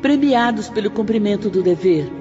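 A man acting as an earnest, admonishing spirit instructor in a radio drama, with formal, measured preaching delivery. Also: high-pitched, animated. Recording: muffled, long reverb, music underneath.

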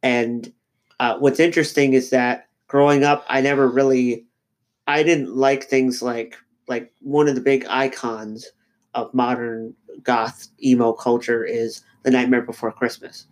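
A man with a head cold, his voice slightly nasal. He talks through ideas slowly as a podcast co-host, stopping and restarting with many fillers as he thinks aloud. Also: medium pitch, level tone. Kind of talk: casual podcast discussion.

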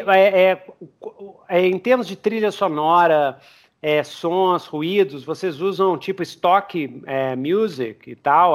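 A Brazilian-accented man hosts a podcast interview in a fairly high voice. He talks informally, repeating words and adding little tags as he puts questions together. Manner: informal, repetitive, peppers talk with tags and English terms. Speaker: podcast host. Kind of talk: casual interview chat.

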